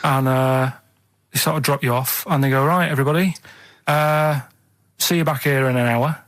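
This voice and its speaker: A man's voice: medium pitch, steady tone, normal speed, fairly bright tone, no echo. A man co-hosting a radio show, telling rambling casual anecdotes in a hesitant, matter-of-fact way.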